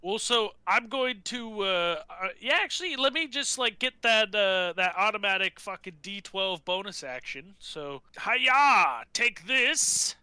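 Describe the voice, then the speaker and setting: A man playing a tabletop role-playing game, chatting casually in an excitable, sweary way and thinking aloud. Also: high-pitched, measured pace, few pauses.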